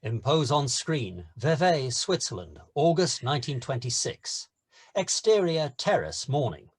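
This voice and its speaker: Male narrator, screenplay stage directions, lively intonation, husky, medium pitch. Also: a little slow.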